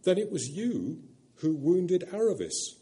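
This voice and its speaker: A man's voice: high-pitched, very animated, unhurried, mellow, voice pushed up. A man doing a startled young boy. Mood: puzzled. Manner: pleading, astonished questions. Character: anxious, hungry young boy, quick to question.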